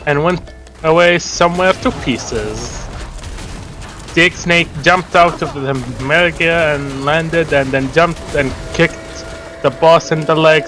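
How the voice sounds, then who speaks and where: A man reads a story aloud at an unhurried pace, stumbling along deliberately and adding casual, amused asides. His voice is crisp-edged and bright, sitting a little high, with soft music playing behind him. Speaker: reader of fan fiction.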